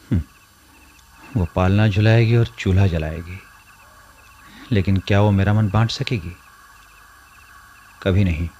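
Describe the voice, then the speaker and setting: A man's voice: lowish voice, darkish tone. Brooding, wistful man in radio drama. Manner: theatrical musing, hesitant repetitions. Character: lonely brooding man, wistful, curious, easily smitten. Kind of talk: radio play acting. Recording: music under the voice.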